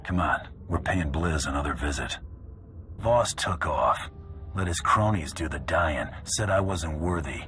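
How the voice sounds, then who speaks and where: An actor playing a curt, no-nonsense male bounty hunter with a deep, gravelly voice in a video game. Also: animated, a little slow, a bit bright, a little hiss or hum, full character voice. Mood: stern. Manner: curt, cool, intimidating. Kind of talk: video game cutscene acting.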